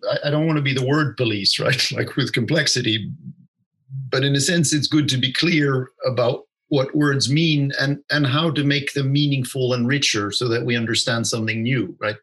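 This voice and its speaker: Husky-voiced man explaining ideas, thoughtful. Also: mid-range voice, fairly bright tone, rough recording. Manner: thoughtful, restarts often, says "you know" and "right?". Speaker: interview guest. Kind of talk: conversational explanation.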